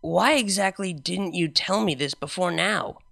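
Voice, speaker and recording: A man's voice: sits high, unhurried, vocal fry, pushed and bright, voice pushed up, heavily acted. A man reading the lines of a blunt, fiery young mare pony in a storybook reading, with a matter-of-fact manner.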